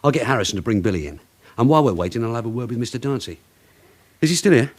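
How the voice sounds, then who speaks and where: An actor playing a blunt, shrewd British police detective sergeant in a radio drama, brisk, commanding and sardonic. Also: male voice, mid-range voice, expressive, natural voice. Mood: brisk, decisive.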